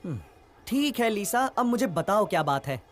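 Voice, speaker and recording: A woman's voice: mid-range voice, sing-song, moderate pace, close to an everyday voice. A woman voicing an earnest young inventor boy in a dubbed cartoon, with an eager, explaining manner. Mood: hesitant, concerned.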